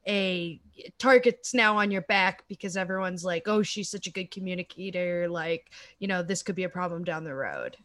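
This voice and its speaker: A young woman co-hosting a podcast, chatting casually and agreeing warmly with her partner. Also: lowish voice, moderate pace. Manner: agreeable, encouraging, many "mm-hmm"s. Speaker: podcast co-host. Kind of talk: casual chat.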